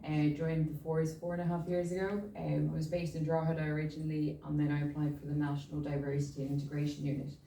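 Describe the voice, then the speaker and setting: A woman police officer from Albania speaking informally at an event, friendly and halting with many fillers. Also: deep voice, flat delivery, warm tone, slight background noise. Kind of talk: informal talk at community event. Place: large indoor hall. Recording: echoey hall from far off.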